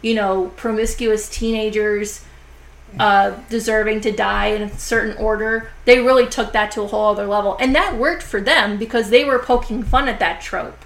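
A woman hosting a casual horror film review podcast, chatty and full of "like" and "you know" fillers, talking a bit slowly because her jaw is sore. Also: medium pitch, steady tone, a bit bright, low-quality recording.

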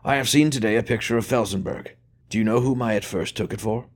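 A weary, gentle old churchman who speaks softly and kindly, worn thin by fever and age, as a male narrator puts him on. His voice sits low but is lifted a little above the reader's own. It is the quiet, careful speech of a frail elder giving grave news. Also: quick pace, lightly acted. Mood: musing. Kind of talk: audiobook character voice.

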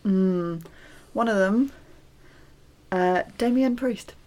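A woman's voice: medium pitch, very slow, warm tone. A woman co-hosting a podcast, laughing often and giving playful, firm opinions. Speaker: podcast co-host. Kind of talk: casual chat.

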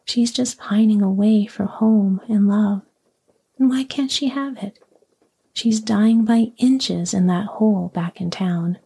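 Slow breathy whispering woman. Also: mid-range voice. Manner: slow, soft and soothing. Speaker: sleep story narrator. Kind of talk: whispered bedtime story reading, ASMR.

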